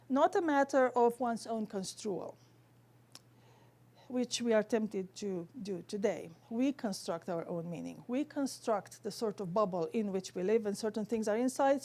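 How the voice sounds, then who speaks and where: A woman with a Romanian accent giving an academic theology lecture in careful, halting, scholarly phrasing. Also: mid-range voice, lively intonation, dark tone.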